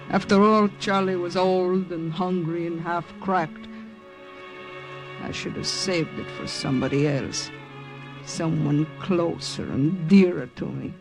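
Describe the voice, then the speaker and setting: A young woman, proud and bitter, in a vintage radio play. She speaks gravely and deliberately, whether narrating or in dialogue, with music prominent in the recording. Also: fairly low pitch, fairly even intonation, rough recording. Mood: somber, reflective. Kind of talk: old-time radio drama acting, first-person narration and dialogue.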